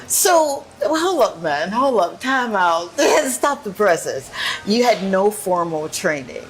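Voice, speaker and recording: A woman hosting an interview show, animated and effusive, laughing often and piling up quick affirmations. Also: medium pitch, noisy background. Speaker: podcast host. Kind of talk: casual interview chat.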